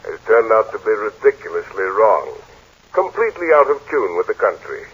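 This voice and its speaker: Casting: a low, husky radio commentator who moralizes solemnly, lo-fi 1940s broadcast. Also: male voice, sing-song, a little fast, fairly bright tone, heavily acted.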